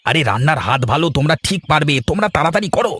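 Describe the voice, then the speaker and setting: Man acting a quick, higher-voiced helpful villager. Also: very animated, fairly bright tone, breathy edge, no echo, full character voice. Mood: encouraging, hurried. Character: busy, helpful village man, honest informer and organizer. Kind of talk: Bengali village cartoon voice acting.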